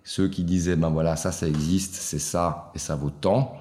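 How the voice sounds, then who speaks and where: A man, a guest answering interview questions in a reflective way, often pausing to search for words. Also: deep voice, steady tone, warm tone. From Switzerland. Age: 40s. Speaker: guest, watch collector. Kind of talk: casual interview conversation.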